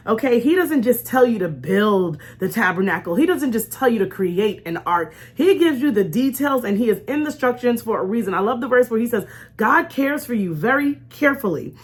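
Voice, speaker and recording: High-voiced, animated woman host. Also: normal speed, husky. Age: adult with young children. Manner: animated, conversational, acts out imagined remarks; emphatic, repeats key phrases. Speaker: host of a faith storytime show. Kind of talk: informal inspirational faith talk.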